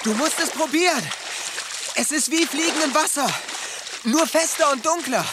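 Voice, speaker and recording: An actor playing a dreamy, stubborn teenage boy in a radio drama, speaking softly and intently. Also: very high voice, moderate pace, very noisy. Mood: stubborn, eager.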